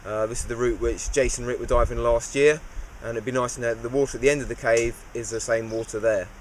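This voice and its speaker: A man's voice: mid-range voice, fast talker, slight background noise. A man, recapping a cave dive in an easygoing, understated way with lots of fillers. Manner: relaxed, understated, many "uh" fillers. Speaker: cave diver. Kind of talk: interview recap.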